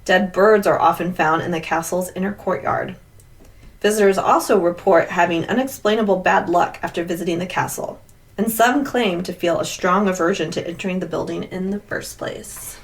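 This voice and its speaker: Female podcast co-host, lowish voice, rambling casual storyteller, recorded a bit off-mic. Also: normal speed. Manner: rambling, heavy use of "like". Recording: distant mic with background noise.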